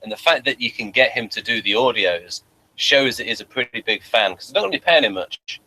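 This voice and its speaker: A man's voice: mid-range voice, expressive, brisk, bright, forceful tone, low-quality recording. A man chatting casually as a podcast host and reviewer, opinionated and often doubling back on his phrases. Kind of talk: casual chat.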